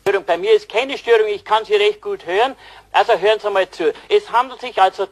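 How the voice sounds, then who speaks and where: An actor playing a rambling, scatterbrained and insistent man on the telephone in a German comic radio play, with a husky voice. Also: high voice, brisk. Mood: insistent, muddled.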